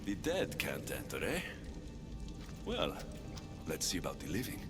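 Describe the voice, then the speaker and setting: An actor playing a loyal, compassionate warrior hero of ancient Greece in a video game, speaking earnestly with expressive emphasis. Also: male voice, very deep voice, a little slow, over music, heavily acted. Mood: concerned. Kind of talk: video game cutscene acting.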